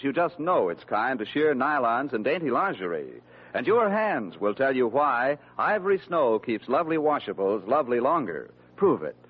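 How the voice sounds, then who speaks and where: An American man with a husky voice reading a persuasive 1940s radio advertisement. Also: medium pitch. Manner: smooth persuasive salesmanship. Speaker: commercial announcer. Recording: muffled old radio recording.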